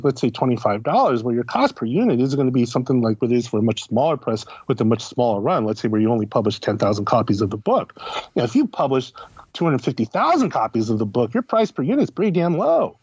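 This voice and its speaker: A man's voice: medium pitch, big pitch swings, fast, a little mellow, breathy edge, no echo. A man talking casually as an interview guest, conversational, often posing questions and answering them himself. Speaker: guest, critic and editor. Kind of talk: casual explanatory interview talk. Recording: slightly dull, lo-fi podcast audio.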